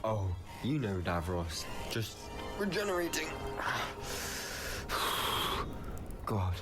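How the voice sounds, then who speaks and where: A man's voice: lowish voice, big pitch swings, drawn-out words, heavily acted. A man playing a daring, quippy time-travelling hero in a sci-fi audio drama, wry and flippant. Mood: strained resolve. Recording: loud music under the voice.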